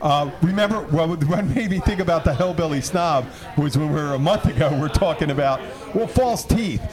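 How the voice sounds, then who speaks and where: A man's voice: on the high side, a little fast, crowd noise. A man hosting a casual podcast, rambling and laughing often, trailing off mid-sentence. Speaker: podcast host. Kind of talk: casual banter.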